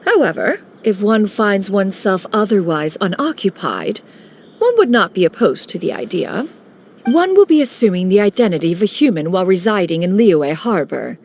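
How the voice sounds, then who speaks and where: A woman's voice: medium pitch, very animated, normal speed, darkish tone, a little hiss or hum. A woman voicing an aloof ancient female immortal master who speaks in a stately, archaic way, referring to herself as "one", in a game cutscene. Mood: composed. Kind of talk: video game cutscene dialogue. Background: faint game music.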